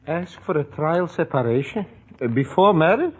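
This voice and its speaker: A man's voice: a little high, expressive, moderate pace, darkish tone, close to an everyday voice. A man playing a grumbling, sardonic older neighbor with a wry, exclamatory manner in a 1940s radio comedy. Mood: indignant. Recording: noisy old radio broadcast.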